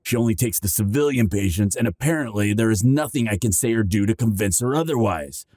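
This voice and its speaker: An actor playing a loyal but hot-tempered dragon captain who sounds gruff and exasperated. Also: male voice, lowish voice, animated, fairly quick, mellow, no room sound, studio quality. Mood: frustrated. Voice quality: gravelly. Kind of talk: audio roleplay acting.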